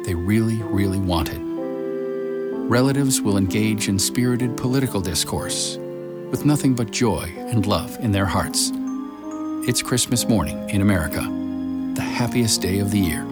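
A man playing a smooth ad announcer over loud music. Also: on the low side, moderate pace, natural voice. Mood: salesy. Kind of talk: audio drama acting.

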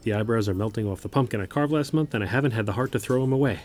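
A man's voice: medium pitch, very fast, darkish tone, lightly acted. An actor playing a wounded, teasing young man in an audio drama, conversational and earnest. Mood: wistful. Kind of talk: naturalistic audio drama acting.

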